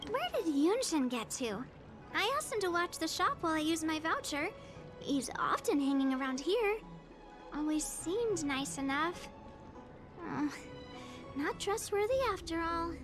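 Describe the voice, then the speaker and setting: A woman's voice: extremely high pitch, very animated, unhurried, over music, full character voice. A woman voicing a sweet, earnest and apologetic young shop clerk in a video game. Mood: worried.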